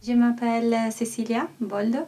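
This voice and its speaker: A woman in her twenties with an Italian accent, an exchange student speaking shyly with many pauses. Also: a little high, flat delivery, dark tone. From Italy. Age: 20s. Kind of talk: testimonial interview.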